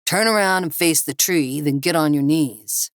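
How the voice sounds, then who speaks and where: A woman's voice: low-pitched, moderate pace, no echo, studio quality, lightly acted. Woman voicing a menacing, snarling armed thug. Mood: cruel, threatening. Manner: barking, threatening commands. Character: menacing, foul-mouthed armed male thug, snarling orders.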